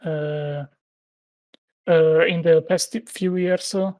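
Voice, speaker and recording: A man's voice: a little high, steady tone, drawn-out words, dark tone, dry recording. Hesitant man, dry sound. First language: Italian. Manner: hesitant, full of "uh" fillers. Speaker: podcast guest. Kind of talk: casual chat.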